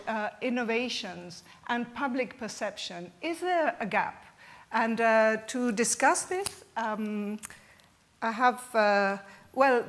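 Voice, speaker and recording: A woman with a somewhat high voice moderates a conference panel. She introduces each speaker in a halting way, with many ums and uhs. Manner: warm thanks, halting with fillers. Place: conference hall stage.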